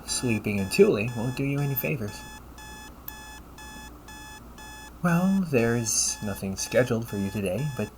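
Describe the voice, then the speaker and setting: A man voicing an upbeat, teasing AI companion in an audio roleplay, polite and fussily helpful, with a gently nagging manner. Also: mid-range voice, slight background noise, rough recording. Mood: gently insistent. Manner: polite, chirpy, gently nagging, butler-like phrasing. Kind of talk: scripted audio roleplay addressed to the listener.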